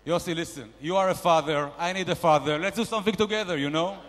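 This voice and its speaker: A man's voice: quick pace, slight background noise, lightly acted. A man playing a brash, boastful male investor nicknamed a shark, barking bossy mock-grand business advice at a conference panel. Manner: loud, bossy, mock-grand sales pitching. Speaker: comedian performing at a panel. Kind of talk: stand-up character bit. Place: large conference hall. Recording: reverberant conference hall.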